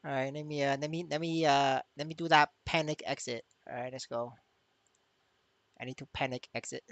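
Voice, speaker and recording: Male gaming streamer, bright sharp tone, dry recording, stammering and repetitive, casual and sweary. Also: medium pitch, fairly even intonation, brisk, no room sound. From Vietnamese. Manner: stammering, repeats words, swears freely. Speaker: game streamer. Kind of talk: casual livestream chat.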